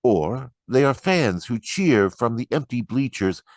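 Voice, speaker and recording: A man giving a dramatic, expressive and deliberate reading of poetry. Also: mid-range voice, no room sound, clean studio sound. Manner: expressive, deliberate, acts out quoted remarks. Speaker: poetry reader.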